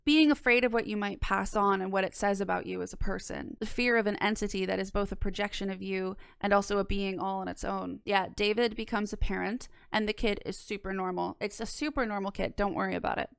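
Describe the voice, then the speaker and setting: Young female video essayist, smooth with noticeable vocal fry, measured analysis and dry casual asides. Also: medium pitch, steady tone, no echo. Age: young adult. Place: small home studio room. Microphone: close microphone on boom arm.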